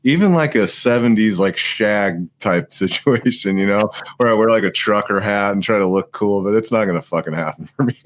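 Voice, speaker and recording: A man's voice: on the low side, moderate pace, hardly any pauses. A man in his late thirties hosting a podcast, chatting casually, laughing often and swearing offhandedly. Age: late 30s. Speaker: podcast host. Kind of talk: casual chat. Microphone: live stream podcast mic.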